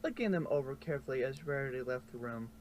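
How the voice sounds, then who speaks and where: A man's voice: mid-range voice, lively intonation, fast talker. A man reading scripted story narration aloud as the narrator. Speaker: narrator of a fan fiction reading. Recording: faint background noise.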